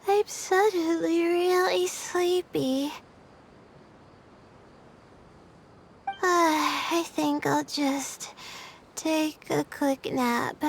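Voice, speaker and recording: A woman voicing a young female student astrologer in a video game, with a soft, earnest delivery. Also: high voice, creaky, a little hiss or hum, full character voice. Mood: sleepy.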